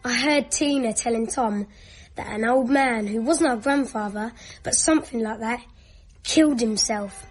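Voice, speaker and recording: A woman's voice: on the high side, measured pace, slightly rough, soft background music. A female performer playing a bossy child who tells gruesome secrets in a radio drama. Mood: secretive.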